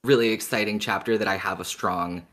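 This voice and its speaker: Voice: sits low, steady tone, brisk, a bit bright. Casual, emphatic podcast co-host.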